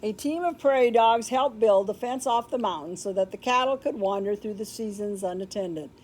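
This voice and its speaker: An older woman reading a children's story aloud in a deliberate, expressive way, with explanatory asides. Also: medium pitch, rough recording. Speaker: storybook reader. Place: indoor room with books, like a classroom or library.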